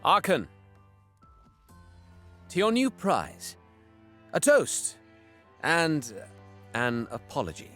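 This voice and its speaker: A man playing a bitter, scheming young alien warrior, narrating and speaking with measured, guarded care in an audio drama. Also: fairly high pitch, huge pitch swings, fairly bright tone, faint music behind, exaggerated voice. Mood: feigned deference. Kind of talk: first-person dramatic narration and dialogue.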